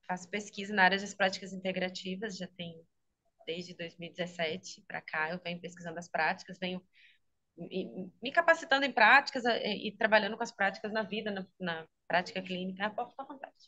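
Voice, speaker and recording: A woman's voice: medium pitch, a little fast, sharp tone. A woman from Minas Gerais with a Brazilian accent, a speech therapist and health teacher, explaining her work in a casual interview, often adding "né?" and laughing easily. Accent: Brazilian Portuguese, Minas Gerais. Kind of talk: casual interview over a video call. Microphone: video call.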